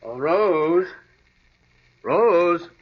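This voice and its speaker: An actor playing a fussy, suspicious family lawyer with mannered, emphatic delivery in a 1940s radio drama. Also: male voice, on the high side, lively intonation, drawn-out words, slightly rough, heavily acted. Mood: flustered. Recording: muffled old-time radio recording.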